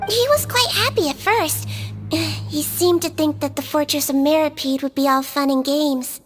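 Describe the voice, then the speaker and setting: A woman voicing a small, gentle creature who works as a nurse, speaking softly with a sly, teasing kindness. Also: high-pitched, normal speed, natural voice. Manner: soft, kindly, quietly teasing. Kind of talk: game voice acting. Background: music.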